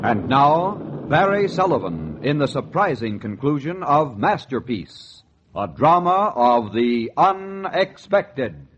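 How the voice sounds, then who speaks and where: A man's voice: mid-range voice, big pitch swings, takes it slowly, a bit bright, a little hiss or hum. A man announcing a 1940s radio suspense series in a dramatic, ominous manner. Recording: muffled vintage 1940s radio recording.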